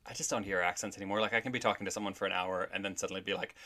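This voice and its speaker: A man's voice: sits low, steady tone, very fast, bright, forceful tone. A man from Canada, a drag performer, chatting casually as a guest on a podcast, full of "like" and "I think". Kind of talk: casual interview chat.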